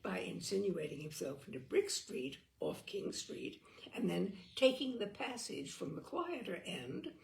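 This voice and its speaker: A woman reading a literary story aloud as narrator, expressively, with theatrical, deliberate phrasing and dramatic pauses. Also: on the high side, vocal fry, low-quality recording. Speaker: audiobook reader and storyteller.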